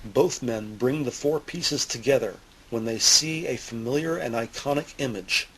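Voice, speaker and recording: A man narrating an audio drama from a script in a deliberate, theatrical style. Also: mid-range voice, fairly bright tone, husky, poor audio. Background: music under the voice.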